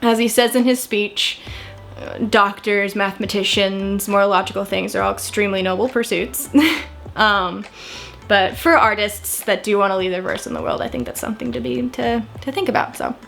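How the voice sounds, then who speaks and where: A woman's voice: medium pitch, gravelly, pushed and bright. An American woman in her twenties chatting casually to camera, rambling and often correcting herself. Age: 20s. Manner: chatty, rambling, frequent self-corrections and small laughs. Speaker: vlogger.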